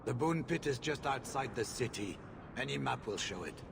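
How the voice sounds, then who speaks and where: An actor playing an anxious, haughty middle-aged mine owner in a video game, fretful and dismissive, with a gravelly voice. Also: male voice, medium pitch, lively intonation, moderate pace, background noise.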